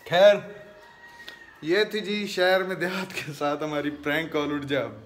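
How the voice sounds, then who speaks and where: A man's voice: on the high side, a little slow, roomy sound, soft background music. A young man with a Pakistani accent chatting casually and teasingly as a vlogger, holding his phone at arm's length. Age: young adult. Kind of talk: casual vlog chat. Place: room at home. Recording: noisy handheld phone recording.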